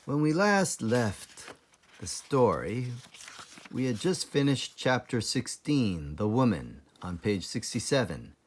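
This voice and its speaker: A man reading a children's book aloud as a teacher librarian, explaining things deliberately for young listeners. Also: mid-range voice, sing-song. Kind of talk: children's storybook read-aloud.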